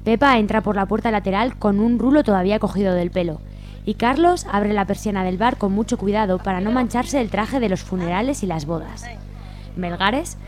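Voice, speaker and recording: A woman's voice: mid-range voice, a little mellow. A woman reading scripted narration for a radio drama, with music behind her.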